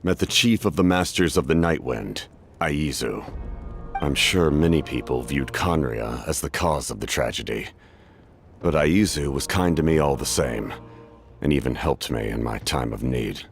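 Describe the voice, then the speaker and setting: An ancient cursed warrior captain from a video game. His voice is extremely low and thick with gravel. He speaks formally and gravely, solemn and haunted, with music underneath. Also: expressive, moderate pace. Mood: somber, weary. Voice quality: very deep and gravelly. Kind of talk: video game character acting.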